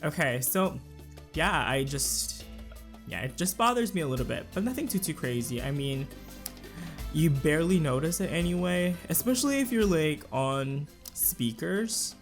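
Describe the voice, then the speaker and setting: A man hosting a casual let's play, talking through his choices with a slightly raspy voice and lots of fillers and restarts. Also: fairly high pitch, expressive, moderate pace, soft background music. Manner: chatty, thinks aloud with fillers and restarts. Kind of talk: casual gameplay commentary.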